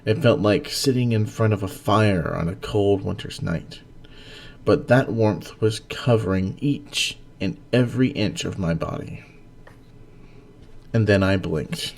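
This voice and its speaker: A man's voice: lowish voice, unhurried, vocal fry, darkish tone. A man who hosts and narrates stories, reading in an earnest, dramatic way and chatting casually about them. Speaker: host and story narrator.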